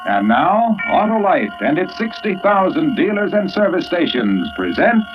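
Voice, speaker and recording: An actor playing a polished, eager radio pitchman in an emphatic 1940s commercial style, on an old radio recording. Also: big pitch swings, normal speed, highs cut off, music in the background. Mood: salesman enthusiasm. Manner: emphatic, salesman-like delivery.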